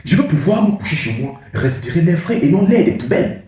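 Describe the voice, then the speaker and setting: A man's voice: a little high, big pitch swings, a little fast, mellow, echoey room. A man hosting his own channel, giving an impassioned political speech with repeated phrases and emphatic rises.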